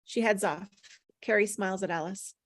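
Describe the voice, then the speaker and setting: A woman's voice: medium pitch, moderate pace, no echo. A woman reading stage directions aloud at a table read, plainly descriptive, with occasional stumbles over the words.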